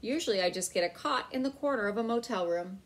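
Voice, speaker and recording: A woman's voice: medium pitch, lively intonation, fast talker, close to an everyday voice. Eager young boy, voiced by a woman reader. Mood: pleased. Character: eager young boy, imaginative and easily pleased.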